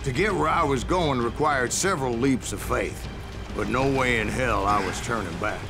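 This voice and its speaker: A male actor plays a weathered old gunslinger from a Western game. His American drawl is gravelly and full of fry, folksy as he spins a tall tale. Music plays behind him. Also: mid-range voice, moderate pace, natural voice. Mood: gritty storytelling. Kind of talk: scripted in-character narration.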